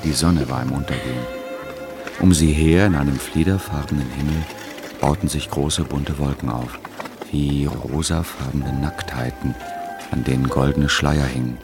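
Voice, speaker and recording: A solemn male storyteller with an extremely low, creaky voice. He gives measured literary narration for a radio drama, with music playing behind him. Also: steady tone. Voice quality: gravelly.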